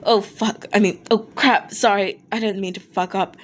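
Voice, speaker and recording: A woman's voice: medium pitch, steady tone, moderate pace, lightly acted. A woman voicing a blunt, foul-mouthed but apologetic male rock singer in a fan fiction reading. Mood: embarrassed. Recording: noticeable background noise.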